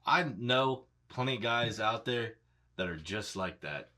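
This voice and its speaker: Man, thirties, lowish pitch, bright tense voice, casual chat, blunt, informal. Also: expressive, a little slow. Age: 30s. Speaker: reaction show co-host. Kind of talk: casual chat, episode discussion.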